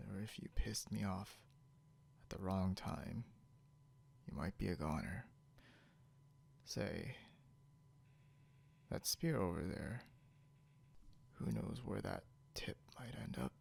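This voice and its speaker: A man playing a grumpy, teasing, possessive young beast-eared hunter in an intimate ASMR roleplay, drawling drowsily and half whispering with a breathy, gravelly voice. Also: deep voice, slow, long pauses, exaggerated voice. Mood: quietly threatening.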